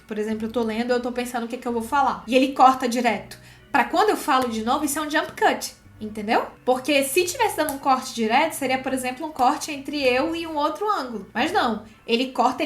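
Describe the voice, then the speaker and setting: A Brazilian woman hosting a screenwriting lesson, chatty and expressive, moving between casual explanation and reading from a script. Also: high-pitched. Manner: expressive and chatty, frequent check-in tags. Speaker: screenwriting teacher and video host. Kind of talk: casual explanatory commentary with script read-aloud.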